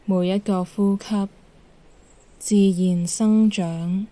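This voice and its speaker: A woman leads a guided meditation as an instructor, calm and measured, giving gentle instructions with pauses. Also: medium pitch.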